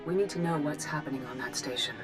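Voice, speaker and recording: A woman playing a grave, wise leader of a knightly order in a video game, measured and solemn. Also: deep voice, over music, natural voice. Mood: grave, composed. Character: grave, wise female Jedi master leader.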